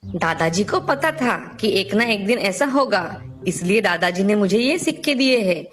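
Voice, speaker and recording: A woman plays a young village boy in a children's tale. The voice is earnest and assured, at a medium pitch for a woman, with music behind it. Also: lively intonation, unhurried, natural voice. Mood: earnest, confident. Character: confident, obedient young village boy, farmer's son. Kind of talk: dramatized children's folk tale dialogue.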